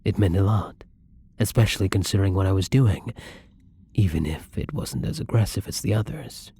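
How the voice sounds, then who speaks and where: A man playing a gentle, caring vampire in an intimate ASMR roleplay, speaking softly and tenderly with a husky, breathy voice. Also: deep voice, normal speed, exaggerated voice. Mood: earnest, apologetic. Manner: soft-spoken, tender, breathy.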